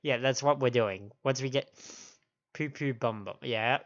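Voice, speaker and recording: Sniffly man streaming, slightly low-pitched and dull-sounding. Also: a little slow. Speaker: game livestream host. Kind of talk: casual stream chat. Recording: dull lo-fi stream audio, faint music.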